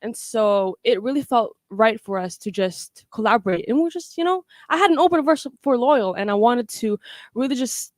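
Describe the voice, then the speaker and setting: A woman's voice: fairly high pitch, animated, normal speed, dry recording, studio quality. An eighteen-year-old woman from the Philippines and Norway, chatting casually as an interview guest in a slangy, laid-back way. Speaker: guest, singer and independent artist. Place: radio studio.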